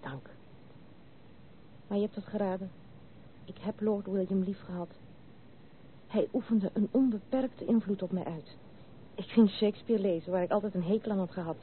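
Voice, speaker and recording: A woman's voice: medium pitch, fairly even intonation, normal speed, pauses often, lightly acted. An actress playing a spirited but wounded young noblewoman in a Dutch radio drama, speaking candidly and earnestly in literary phrases. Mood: confessional. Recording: narrow tinny old radio recording, faint hiss.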